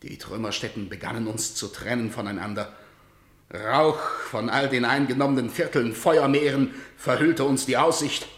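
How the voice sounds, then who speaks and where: An actor playing a messenger who reports a lost battle, in an urgent, grave declamation of classical verse. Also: male voice, mid-range voice, normal speed, close to an everyday voice. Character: male messenger bringing news of defeat. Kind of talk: classical verse radio drama. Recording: roomy studio echo.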